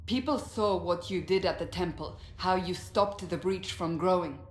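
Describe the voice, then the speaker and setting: An actress playing a stern, dutiful warrior woman in a video game cutscene, speaking in a firm, formal, measured way. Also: fairly low pitch, lightly acted. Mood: grave, resolute. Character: stern, dutiful warrior woman leading a fantasy war council. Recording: roomy echo, noisy ambience.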